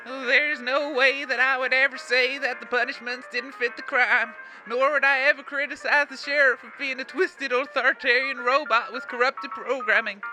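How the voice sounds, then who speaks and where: A man's voice: very high voice, fairly even intonation, normal speed, sharp tone, faint music behind, full character voice, low-quality recording. A man playing an anxiously over-compliant townsperson who insists on being happy, in a comedy radio drama. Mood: forced cheer. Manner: over-insistent, formal denials.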